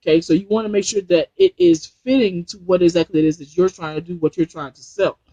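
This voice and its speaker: Man, high voice, New Jersey, casual live stream, rambling and repetitive, motivational, dry close sound. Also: animated, fast talker, creaky, mellow, no echo, highs cut off. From New Jersey, USA. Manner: rambling, repeats and restarts phrases, motivational. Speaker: online business trainer. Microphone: Bluetooth headset.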